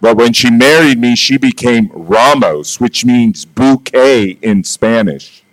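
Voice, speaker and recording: A middle-aged American man preaching as a visiting senior pastor, speaking in short, jovial, booming phrases and pausing so an interpreter can translate each line. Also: rough recording. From United States. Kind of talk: sermon with live interpreter.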